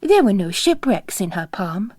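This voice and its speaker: A woman's voice: medium pitch, sing-song, moderate pace, a little mellow, no room sound, studio quality. A woman narrating a novel as a scripted literary reading.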